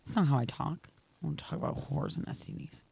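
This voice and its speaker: Slightly raspy woman radio co-host. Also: low voice, warm tone, dry recording. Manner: playful, teasing, quick retorts. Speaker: co-host of a morning radio show. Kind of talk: casual morning radio banter. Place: radio studio. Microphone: studio microphone.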